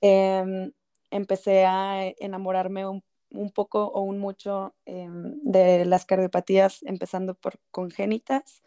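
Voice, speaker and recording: Mexican woman psychologist, mellow dark voice, hesitant, talks softly. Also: medium pitch, level tone, slow, clean tone. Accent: Mexican Spanish. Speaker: clinical psychologist, guest. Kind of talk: casual podcast interview.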